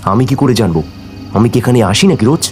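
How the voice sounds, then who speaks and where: An actor playing a brash, curious young city man in an audio horror drama, brisk and dismissive. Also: mid-range voice, expressive, a little mellow, faint music behind, close to an everyday voice.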